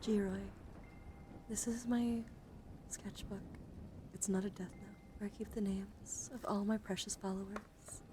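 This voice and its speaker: Young woman, ASMR livestreamer, slow pace, drifting into whispers, playful teasing, coddling, close mic, noisy background. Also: medium pitch, fairly even intonation. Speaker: live streamer. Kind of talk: ASMR livestream with casual chat to viewers.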